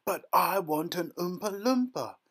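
A man acts out a spoiled little rich girl from a storybook. The voice jumps up high and loud, whining and insisting on getting its way. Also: expressive, normal speed. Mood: demanding. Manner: insistent, whining, shouting demands.

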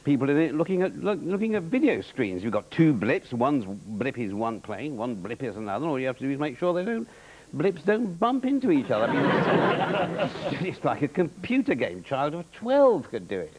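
A man's voice: medium pitch, sing-song, moderate pace, natural voice. A man in his fifties with an English accent playing a smug, evasive, unscrupulous businessman in a satirical mock interview, stammering and repeating himself. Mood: dismissive. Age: 50s. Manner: hesitant, stammering, repeating words. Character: smug, evasive middle-aged British businessman, cheerfully unscrupulous. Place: television studio before an audience. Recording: TV studio with background noise.

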